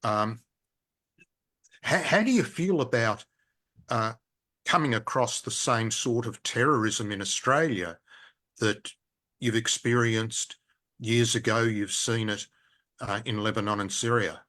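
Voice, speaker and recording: A man hosting an interview, asking measured questions and checking points back with his guest. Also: mid-range voice, sharp tone. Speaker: radio host, interviewer.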